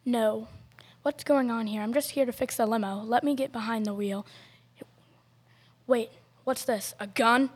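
Husky young mechanic played by a girl. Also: fairly high pitch, unhurried. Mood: puzzled. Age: child or teenager. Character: unsuspecting working mechanic, plain-spoken. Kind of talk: amateur youth radio play.

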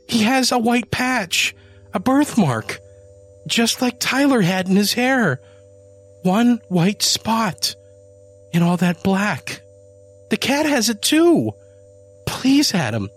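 A man voicing a grief-stricken woman who pleads in a high, shrill voice. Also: unhurried, pauses often, sharp tone, husky, full character voice. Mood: frantic. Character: grief-stricken woman, frantic, clinging to hope.

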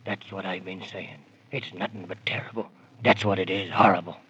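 A gloomy complainer mutters in a deep, heavily husky voice. He worries and repeats himself in old radio drama style, on a dull recording. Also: steady tone, normal speed, fairly bright tone, full character voice. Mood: gloomy dread. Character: gloomy, grumbling worrier companion. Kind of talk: 1930s children's radio drama acting.

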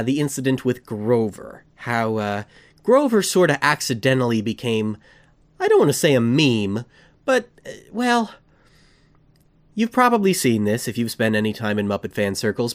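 A man hosting a fan podcast, chatting casually, rambling and correcting himself as he gets excited. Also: medium pitch. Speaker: podcast host.

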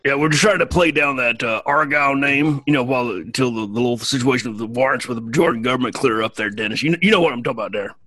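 A man voicing a gruff Southern male starship officer with a shady past, talking with an Alabama accent in a drawling, boastful, folksy way. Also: medium pitch, quick pace, hardly any pauses, pushed and bright. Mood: swaggering. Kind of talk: in-character banter on a podcast.

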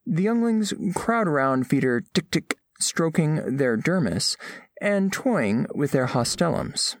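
Formal, lively-pitched alien scholar. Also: male voice, slow, no echo, clean studio sound, exaggerated voice. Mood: observant, formal. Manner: formal, precise, clinical phrasing. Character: alien scholar envoy, formal, curious, earnest observer of humans. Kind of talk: scripted audio drama narration.

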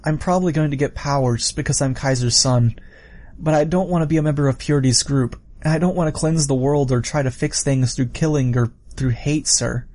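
A man voicing a meek, frightened but earnest boy in an audiobook story, halting and deferential. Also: mid-range voice, fairly even intonation, dark tone, natural voice. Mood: nervous resolve.

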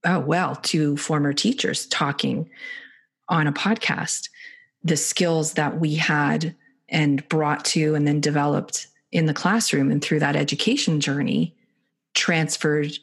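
Deep gravelly husky woman. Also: steady tone, measured pace. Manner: reflective, searching for words with many fillers. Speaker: podcast co-host. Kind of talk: casual podcast conversation.

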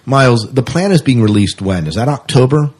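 A man's voice: mid-range voice, lively intonation, a little fast, darkish tone, breathy edge. A man co-hosting a podcast, chatting casually through entertainment news. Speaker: podcast co-host. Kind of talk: casual podcast chat about sci-fi news.

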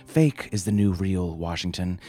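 Low-voiced man hosting over music. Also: moderate pace, mellow. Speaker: podcast host. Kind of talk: scripted comic news satire and fiction introductions.

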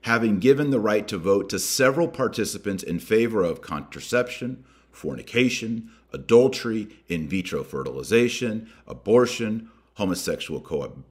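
An American man hosting a podcast, reading a document aloud and commenting on it with deliberate emphasis. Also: on the low side. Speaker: podcast host.

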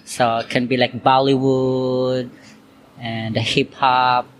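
A man's voice: medium pitch, level tone, takes it slowly. A man from Cebu in the Philippines, answering interview questions in a chatty, loosely phrased way full of "like" and "you know". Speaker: interviewee, accountant and Zumba instructor. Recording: noisy background hiss.

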